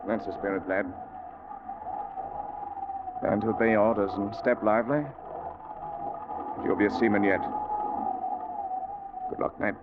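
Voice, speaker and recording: An actor playing a stern, fearless young sea captain with a commanding delivery in a 1940s radio drama. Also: male voice, lowish voice, little pitch movement, a little slow, pauses often, natural voice. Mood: resigned, gentle. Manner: commanding, resolute. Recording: muffled, very noisy old radio recording.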